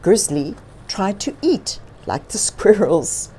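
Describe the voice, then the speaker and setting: Slow, highly expressive woman storyteller. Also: breathy edge, poor audio. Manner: dramatic, expressive emphasis. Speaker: children's read-aloud storyteller. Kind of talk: expressive picture-book narration with asides to children.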